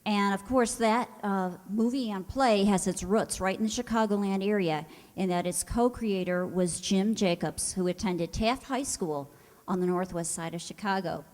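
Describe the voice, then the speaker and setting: A woman's voice: mid-range voice, moderate pace, darkish tone. A woman presenter giving an informal spoken introduction to an audience. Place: hall, before an audience. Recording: echoey hall.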